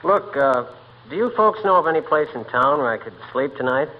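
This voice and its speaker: An actor playing a polite, lonesome young sailor in a 1940s radio comedy, courteous and hesitant. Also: male voice, mid-range voice, animated, brisk, lightly acted. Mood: easygoing, courteous. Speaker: supporting actor in a radio sitcom. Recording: muffled, hissy 1940s radio recording.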